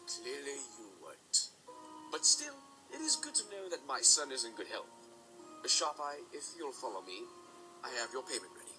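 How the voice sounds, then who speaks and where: An actor playing a stern, older, aristocratic father and magic researcher in an audio drama, speaking formally and deliberately. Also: mid-range voice, very animated, natural voice, low-quality recording. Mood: commanding. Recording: music behind the voice.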